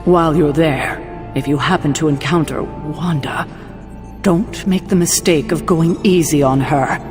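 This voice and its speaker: A woman's voice: low-pitched, unhurried. An actress playing a stern, worried female team leader in a dramatic video game cutscene, firm and disapproving. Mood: grim, concerned. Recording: long reverb, music behind.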